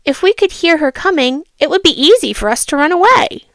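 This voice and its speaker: A woman's voice: sits high, expressive, brisk, fairly bright tone, no echo, voice pushed up. A woman voicing an earnest young storybook mouse explaining a plan.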